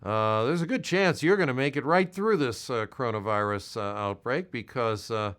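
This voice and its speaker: An older man with an American accent, talking in a gentle, halting, reassuring manner as a volunteer making a check-in call. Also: medium pitch. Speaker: health department volunteer caller. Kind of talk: supportive check-in phone call.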